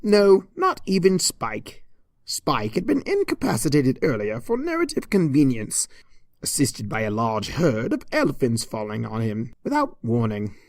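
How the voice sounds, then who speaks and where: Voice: medium pitch, huge pitch swings, measured pace, dry recording, studio quality. A storyteller reading a comedic story as an arch, mock-pompous narrator in a theatrical manner. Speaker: fan fiction reader.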